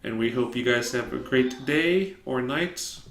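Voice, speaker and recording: A young man with a loud, booming voice co-hosts a laid-back sports podcast. He jokes and chats casually. The sound is roomy and echoey, with faint noise behind. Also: unhurried, vocal fry. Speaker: podcast co-host. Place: open basement.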